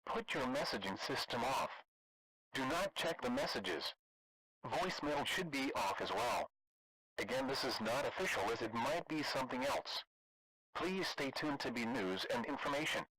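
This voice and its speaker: A man playing a stern emergency alert announcer, reading an official broadcast message flatly and tersely. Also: medium pitch, moderate pace, natural voice, rough recording.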